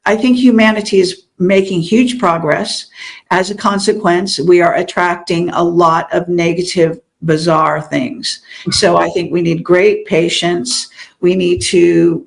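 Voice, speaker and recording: An older woman talks in a loose, meandering way, full of fillers and restarts. Her voice is heavily gravelly with a lot of fry. She sounds like a guest in a relaxed conversation. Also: on the low side. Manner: rambling, meandering, many fillers. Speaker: interview guest, show host. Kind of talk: casual interview chat.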